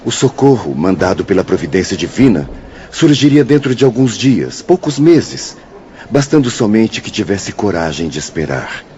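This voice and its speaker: Solemn male teacher, low mellow voice, didactic, patient, radio drama, muffled with slight noise. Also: sing-song. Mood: solemn, counseling. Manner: didactic, measured. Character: solemn male spiritual instructor, patient and moralizing. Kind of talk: audio drama acting. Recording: dull, noisy audio with ambience.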